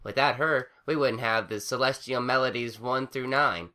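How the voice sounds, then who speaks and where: A man's voice: mid-range voice, moderate pace, bright, forceful tone, pitched above the performer's own voice, close to an everyday voice. A man voicing a refined young pegasus mare who talks in a prim, formal way.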